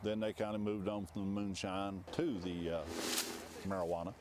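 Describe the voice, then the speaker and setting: A man, a county sheriff answering interview questions in a folksy, halting way. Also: low voice, fairly even intonation, background noise.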